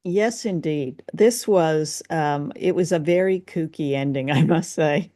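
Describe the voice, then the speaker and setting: A woman's voice: sits low, a little slow, dark tone. A woman chats as a co-host, feeling her way through her points conversationally. The sound is dry, with very little room echo, and her style is casual. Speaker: podcast co-host. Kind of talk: casual discussion.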